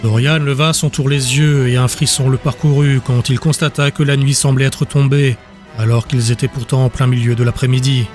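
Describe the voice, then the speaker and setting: A man's voice: medium pitch, fairly even intonation, a little fast, darkish tone, faint music behind. A man reading scripted dramatic narration as the narrator of an audiobook.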